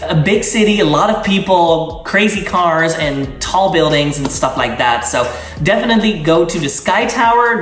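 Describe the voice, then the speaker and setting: A man's voice: fairly high pitch, a bit bright. Young Dutch-accented male vlogger, eager and chatty, recorded roomy from a few feet away. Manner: enthusiastic, gushing, with many fillers and restarts. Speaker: travel vlogger. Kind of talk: casual travel-guide talk. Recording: roomy sound, mic at a distance.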